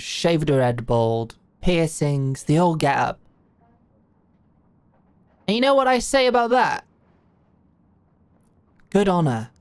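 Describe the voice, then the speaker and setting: A woman's voice: low-pitched, darkish tone, no room sound. A woman playing a blunt, shrewd older British executive in an audio roleplay, talking in a brash, slangy, commanding way. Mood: amused, blunt. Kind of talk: scripted audio roleplay monologue.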